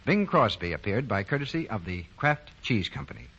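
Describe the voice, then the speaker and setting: A man's voice: on the low side, big pitch swings, fairly quick, a bit bright, husky, highs cut off. An American man reading scripted commercials and credits as a 1940s radio announcer. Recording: noisy, band-limited 1940 radio broadcast.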